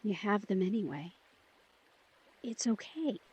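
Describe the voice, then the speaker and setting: An empathic young vampire woman played by an actress in a close, intimate audio drama. Her medium-pitched voice is soft-edged and breathy, with some husk. She asks probing questions with care and teases gently. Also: lively intonation, a little slow, muffled recording. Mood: probing, sympathetic. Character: empathic, inquisitive, caring young woman, newly turned vampire.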